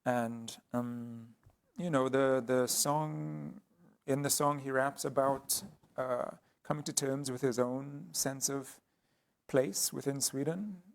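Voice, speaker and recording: A man speaking as an artist guest in an interview, explaining his work thoughtfully with frequent pauses and restarts. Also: mid-range voice, fairly even intonation, vocal fry. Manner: hesitant, many ums and restarts.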